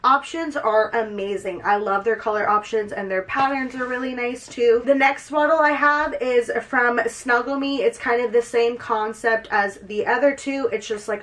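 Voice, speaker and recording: A young woman with a slightly high voice talks casually to camera at home, chatty and upbeat. She has a cold, so she sounds a little stuffy and nasal. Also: a bit bright. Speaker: vlogger. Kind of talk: casual product walkthrough.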